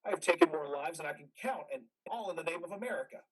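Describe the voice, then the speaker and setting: An actor playing a traumatized, bitter and weary combat veteran in a modern stage play reading, with a husky voice. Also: male voice, fairly high pitch, animated, fast talker, low-quality recording. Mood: bitter, raw.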